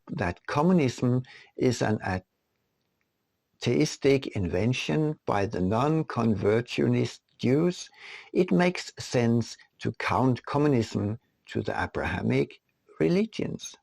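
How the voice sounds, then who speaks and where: A man reading his own written text as an audiobook narrator, in a deliberate, rhetorical manner. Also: medium pitch, expressive, creaky, a little mellow, no room sound. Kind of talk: scripted narration.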